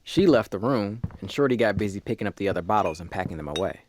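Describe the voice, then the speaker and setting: A man's voice: lowish voice, lively intonation, fast talker, darkish tone, a little hiss or hum, lightly acted. A man playing the ghost of a male cat, telling his own story in a wry, folksy way. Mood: wry storytelling. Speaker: narrator. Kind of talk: first-person scripted storytelling. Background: music.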